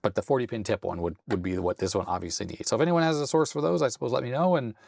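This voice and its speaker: A man hosting a hands-on tech video with a slightly hoarse, raspy voice, thinking aloud in a tentative, self-deprecating way. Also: medium pitch, rapid-fire, a little mellow, no room sound, studio quality. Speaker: host. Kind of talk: casual hands-on tech walkthrough.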